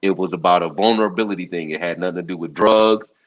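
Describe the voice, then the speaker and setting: A man hosting a casual interview, asking probing, slangy questions in a measured way. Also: low voice. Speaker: interview host. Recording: muffled lo-fi audio.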